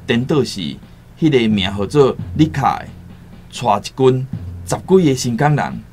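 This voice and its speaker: A Taiwanese-accented actor playing a cautious, worldly returned seafarer in a radio drama, solemn and deliberate. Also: male voice, mid-range voice, lively intonation, a little mellow, lightly acted. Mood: grave, cautious. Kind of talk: radio drama acting with first-person storytelling. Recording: music behind the voice.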